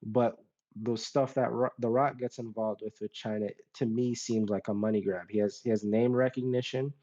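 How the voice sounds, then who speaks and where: A man with a middle-pitched voice talks casually on a podcast panel. He rambles through his opinions and qualifies them as he goes. The recording is a little dull and lo-fi. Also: steady tone, normal speed, mellow. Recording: dull lo-fi mic.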